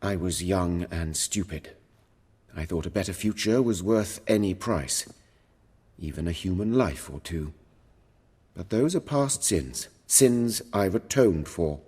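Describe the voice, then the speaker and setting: A jaded, proud surgeon and scholar, with a low, husky voice. He talks formally and dryly in measured sentences. The game dialogue rings with long reverb. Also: lively intonation, darkish tone, full character voice. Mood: stern, defensive. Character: stern, learned male surgeon and professor, jaded, proud. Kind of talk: video game voice acting.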